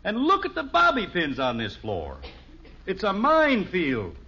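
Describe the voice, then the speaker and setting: Blustering, animated radio sitcom dad. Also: male voice, high-pitched, measured pace, exaggerated voice. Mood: exasperated. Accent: American. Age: middle-aged. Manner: blustering, emphatic. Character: exasperated, blustering middle-aged suburban father, smugly confident. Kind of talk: 1950s radio sitcom acting. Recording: muffled vintage 1950s radio recording.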